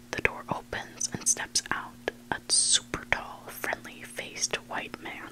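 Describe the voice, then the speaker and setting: A person reading stories for ASMR in a breathy, unvoiced whisper, hushed and deliberate, in short phrases. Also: poor audio. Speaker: ASMR reader of online stories.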